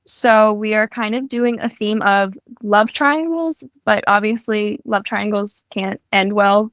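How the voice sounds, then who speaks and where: A woman's voice: medium pitch, unhurried, fairly bright tone, no echo. Chatty teen girl podcast host. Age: teens. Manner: chatty, many likes. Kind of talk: casual chat, introducing the episode.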